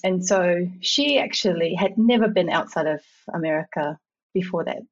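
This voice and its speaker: A husky, slightly low-voiced woman from Samoa speaks as an interview guest. She is reflective and laughs easily, often restarting her sentences. The recording sounds dull and lo-fi. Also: normal speed. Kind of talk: conversational interview.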